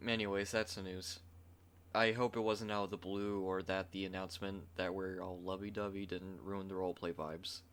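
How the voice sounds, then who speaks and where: A man's voice: sits low, flat delivery, moderate pace, fairly bright tone, clean tone. A man hosting a roleplay channel, talking casually in an outro. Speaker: ASMR roleplay channel host.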